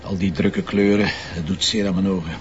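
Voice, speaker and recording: A man's voice: low voice, fairly even intonation, moderate pace, lightly acted. A man with a Flemish accent playing a stubborn, impatient, argumentative police commissioner in a crime audio drama. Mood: grumbling. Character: stubborn, impulsive, grumbling male police commissioner. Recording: dull lo-fi sound with background noise.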